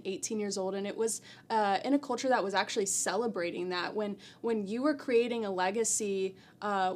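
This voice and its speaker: A woman's voice: mid-range voice, a little hiss or hum. A young American woman co-hosting a podcast, explaining her opinions earnestly in conversational speech. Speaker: podcast co-host.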